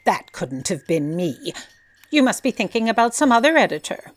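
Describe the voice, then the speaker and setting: A woman's voice: mid-range voice, big pitch swings, normal speed, lightly acted. An actress playing a lofty, commanding and blithely oblivious female editor-in-chief in a comedic audio drama.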